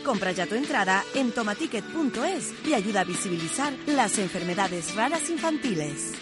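A woman's voice: medium pitch, very animated, moderate pace. A woman reading a scripted radio advertisement as an announcer, with music behind her.

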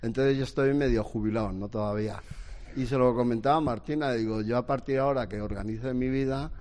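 A man's voice: medium pitch, fast, a little mellow. A man in his sixties from Spain, a guest telling family stories in a casual, hesitant, rambling way. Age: 60s. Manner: rambling, hesitant storytelling with restarts. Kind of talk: casual chat.

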